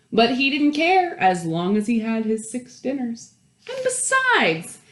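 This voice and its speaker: A woman reading a picture book aloud to young children, expressive, with questions and asides to her listeners. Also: fairly high pitch. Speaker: children's storytime host and story reader. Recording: roomy, echoey indoor sound.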